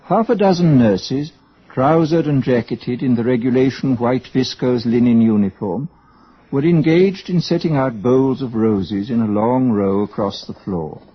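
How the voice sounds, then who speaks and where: A man's voice: mid-range voice, unhurried, slightly rough. A man delivering scripted narration as the narrator of a radio drama. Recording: dull, lo-fi old radio recording.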